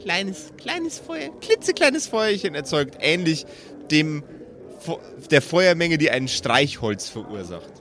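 A man narrating an improvised pen-and-paper story as game master, measured, with dramatic pauses. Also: on the high side, sing-song, a bit bright.

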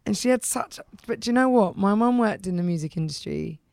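A woman singer with a husky, rough voice, hoarse today, chatting casually in an interview and saying "like" a lot. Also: medium pitch, animated, darkish tone, no echo, clean studio sound. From England. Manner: chatty, repeats "like", quick restarts. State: hoarse from heavy use. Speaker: singer, guest.

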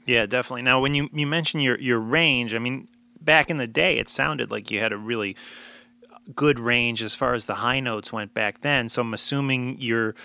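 A man's voice: medium pitch, vocal fry, pushed and bright. A man interviewing a musician, asking questions and answering with short, eager affirmations.

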